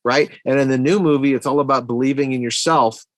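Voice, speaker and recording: A man's voice: medium pitch, steady tone, fast, no room sound. A man in a casual film discussion on a podcast, talking like an enthusiastic fan and thinking aloud as he goes. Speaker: podcast guest or co-host, movie fan.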